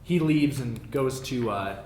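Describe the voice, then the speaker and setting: A man runs a tabletop game as game master, describing scenes off the cuff. He speaks haltingly in a mid-pitched voice. The room is echoey, with faint rumbling noise. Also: animated, a little mellow. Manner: halting, pausing to think up details. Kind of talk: improvised tabletop game narration.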